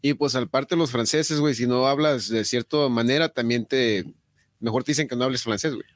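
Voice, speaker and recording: A man's voice: mid-range voice, fairly quick, no room sound, clean studio sound. A man with a Mexican accent talking casually as a co-host on a podcast. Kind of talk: casual chat.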